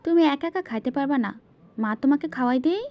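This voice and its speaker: Patient cartoon mother, played by a woman, Bangladeshi accent, high voice, quick delivery, coaxing a small child. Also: lively intonation, no room sound, highs cut off. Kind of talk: amateur cartoon voice acting.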